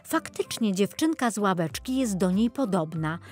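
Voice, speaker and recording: A woman reading a scripted children's story as an expressive audiobook narrator. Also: medium pitch, moderate pace. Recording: music behind the voice. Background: music.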